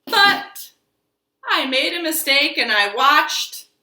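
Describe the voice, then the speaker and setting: A woman hosting a children's storytime, reading and reciting rhymes in an expressive, sing-song, exaggerated way for young children. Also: high voice, slow pace. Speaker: children's storytime host at a library. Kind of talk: children's storytime with action songs, rhymes and picture-book reading. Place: living room at home. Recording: echoey room.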